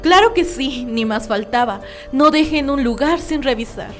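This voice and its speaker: A woman's voice: medium pitch, normal speed, soft background music, close to an everyday voice. An actress playing a mad, cunning woman murderer in a radio drama, theatrical, insistent and self-justifying. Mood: falsely cordial. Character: mad, cunning, boastful woman murderer confessing her crime.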